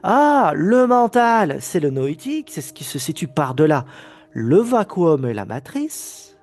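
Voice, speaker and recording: A man's voice: fairly high pitch, sing-song, unhurried, a little mellow. A man with a head cold giving a recorded spiritual lecture, emphatic and often restarting his phrases. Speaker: spiritual teacher.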